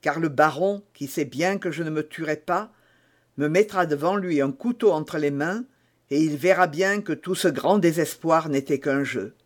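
A man's voice: fairly high pitch, moderate pace, lightly acted. A man reading aloud and voicing a scheming imprisoned noblewoman whose begging turns to sly, calculating asides. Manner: breathless impassioned pleading, swinging to sly asides.

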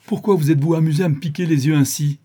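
A man's voice: a little high, animated, moderate pace, dark tone, breathy edge, voice pushed up. A man voicing a brutal brigand who has been blinded, exclaiming and pleading in a storybook reading. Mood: angry, frantic. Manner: exclamatory, pleading, repeating words in anguish. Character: brutal male brigand, newly blinded, raging then pitifully despairing.

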